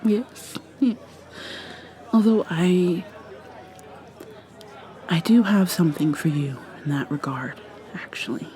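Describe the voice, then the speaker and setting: A woman acts out an ageless, secretive vampire mermaid woman for an ASMR roleplay, speaking softly and slowly in a guarded whisper. She often stops and restarts her words. Her voice sits over a faint crowd murmur. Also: on the low side, animated. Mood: tender. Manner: soft-spoken, often whispering, halting restarts.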